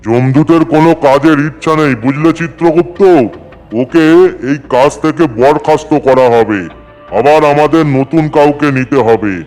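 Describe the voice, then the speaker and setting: Cartoon casting: man as strict ruler of the dead, higher-set male voice, bossy and reproachful. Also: moderate pace, darkish tone, faint music behind, natural voice. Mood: annoyed, commanding. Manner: scolding, commanding. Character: stern, scolding lord of death judging sinners in the underworld. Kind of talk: Bengali cartoon voice acting.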